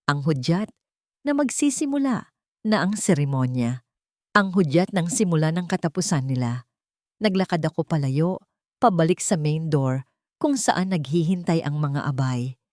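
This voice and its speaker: A woman's voice: low-pitched, animated, normal speed, darkish tone, no room sound, clean studio sound. A woman reading a scripted first-person story in a dramatic narrating style.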